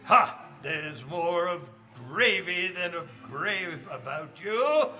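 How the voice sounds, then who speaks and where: A man's voice: sits high, very animated, takes it slowly, bright, forceful tone, soft background music, heavily acted. An actor playing a frightened, crotchety old male miser in a stage radio drama, stammering and quavering between bluster and pleading. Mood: nervous defiance. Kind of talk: radio-style stage audio drama acting.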